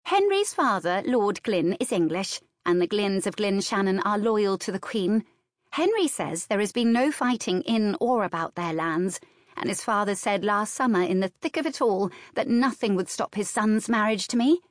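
A woman's voice: mid-range voice, animated, normal speed, dry recording, studio quality, voice pushed up, close to an everyday voice. Woman acting a smug young engaged sister. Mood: defensive. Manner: smug, defensive.

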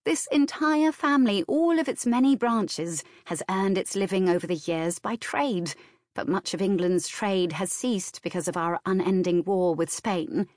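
A woman voicing a sensible, dry-witted elder sister in an audiobook, lecturing and gently reproving. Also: medium pitch, no echo, lightly acted.

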